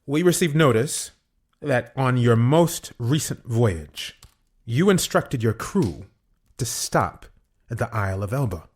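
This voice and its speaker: A man playing an uneasy, formal, calculating inspector in an audio drama, officious and halting as he questions a prisoner. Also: medium pitch, animated, a little mellow, husky. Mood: probing.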